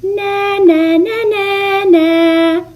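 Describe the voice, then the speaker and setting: Woman as a taunting, high sing-song storybook bird. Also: fairly even intonation, drawn-out words, a little mellow, faint music behind, exaggerated voice. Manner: sing-song, snorting nonsense sounds.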